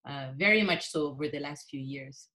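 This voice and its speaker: A middle-aged woman from the Philippines speaks as an interview guest, her voice sitting low in pitch. She talks earnestly and enthusiastically, leaning on fillers as she goes. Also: a little fast, sharp tone. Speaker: podcast guest. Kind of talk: conversational interview.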